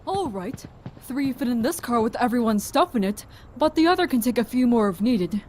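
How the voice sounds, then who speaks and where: A woman playing a cheery, take-charge adult organizer in an audio drama, with a brisk manner. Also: on the high side, lively intonation, a little mellow, slight background noise, natural voice. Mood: upbeat.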